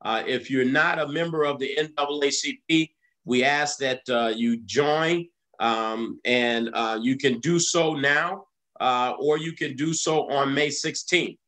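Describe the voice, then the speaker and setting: A man's voice: mid-range voice, fairly bright tone. A middle-aged man leads his congregation through the weekly announcements as their pastor. He talks in a mid-range voice over a video call and stops often on "uh" and "um" as he works through dates and times. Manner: halting, many "uh" fillers. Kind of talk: church announcements.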